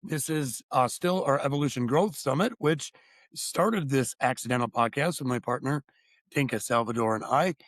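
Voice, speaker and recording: A man's voice: medium pitch, normal speed, a bit bright, no echo. A man hosting a podcast, welcoming his guest in an upbeat, casual way. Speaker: podcast host.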